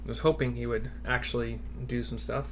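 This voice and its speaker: A man's voice: mid-range voice, flat delivery, normal speed, noisy background. A man giving informal, muttered commentary as he plays and reads through a video game. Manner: informal, self-critical, muttering asides. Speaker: gaming streamer. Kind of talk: casual commentary while voicing game dialogue.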